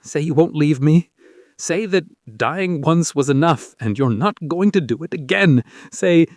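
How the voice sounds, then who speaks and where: A man's voice: a little high, lively intonation, measured pace, dry recording, clean studio sound, voice pushed up. A man voicing a fierce, anguished king in love in a dramatic story, impassioned and pleading.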